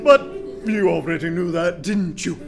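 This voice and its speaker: A man's voice: high-pitched, normal speed, creaky, music in the background. A man playing a smug, randy young prince in a comedic radio play, speaking with pompous, mock-regal formality.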